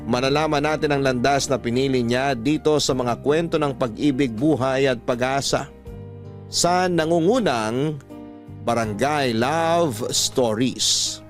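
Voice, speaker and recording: A man with a Filipino accent hosting a radio drama, narrating scripted stories in a measured, sentimental, rhetorical way. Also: medium pitch.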